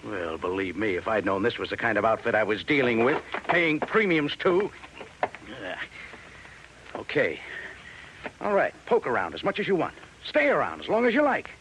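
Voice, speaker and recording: An actor playing a gruff, combative, suspicious cattle rancher in a 1950s radio drama. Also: male voice, mid-range voice, sing-song, normal speed, fairly bright tone, low-quality recording. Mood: irritated. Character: gruff, hot-tempered, defensive male cattle rancher. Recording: old radio recording, faint noise.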